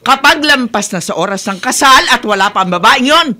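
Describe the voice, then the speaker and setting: A woman's voice: fairly high pitch, expressive, fairly quick, sharp tone. A woman playing a disapproving, domineering middle-aged mother in a radio soap, scolding in Tagalog mixed with English. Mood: stern. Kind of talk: Philippine radio soap opera acting.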